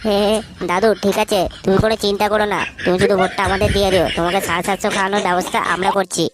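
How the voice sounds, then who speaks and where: A woman's voice: mid-range voice, level tone, racing, close to an everyday voice. A woman voicing a pushy, foul-mouthed young lad who wheedles as a sidekick in a comedy cartoon. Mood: exasperated. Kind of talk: Bengali comedy cartoon voice acting. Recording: noisy audio with clicks.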